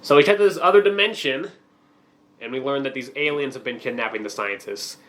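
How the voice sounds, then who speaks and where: A man's voice: medium pitch, a little fast, a bit bright. A man co-hosting a comic-book podcast, talking casually and recapping stories while getting over a cold that makes his voice crack. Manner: joking, recaps plots in a loose rambling way. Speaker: podcast co-host.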